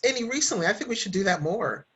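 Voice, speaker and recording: A woman's voice: medium pitch, lively intonation, fast, a bit bright. A woman chatting casually and telling anecdotes as a podcast guest.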